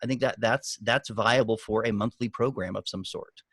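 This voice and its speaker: A man's voice: lowish voice, moderate pace, a bit bright, breathy edge, dry recording. Emphatic man coaching, dry close sound. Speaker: guest business coach and author. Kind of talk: giving marketing advice on a group video call. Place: online video call.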